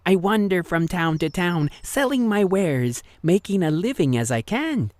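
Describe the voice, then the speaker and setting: An actress playing a mysterious wandering woman peddler who speaks in a measured, knowing way. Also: lowish voice, sing-song, darkish tone, no room sound, clean studio sound. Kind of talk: 1940s style radio acting.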